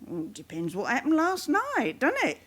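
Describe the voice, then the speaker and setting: An actress playing an anxious, sharp-tongued middle-aged mother of criminal lads, with a working-class London accent, in a radio drama. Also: high voice, wildly swooping pitch, moderate pace, heavily acted. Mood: guarded, probing. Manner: wary, evasive, scolding.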